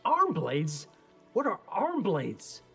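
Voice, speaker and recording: A man's voice: high voice, huge pitch swings, slow, vocal fry, bright, forceful tone, faint music behind, full character voice. An actor playing an earnest, determined young male ninja in a video game. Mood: awkward.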